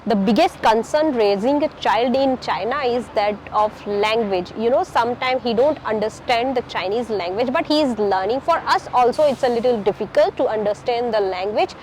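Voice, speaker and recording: A woman speaks in a fairly high voice, keeping things plain and direct. Faint background noise runs under her. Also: moderate pace, few pauses, darkish tone, low-quality recording. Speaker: parent interviewee. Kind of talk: casual talk to camera.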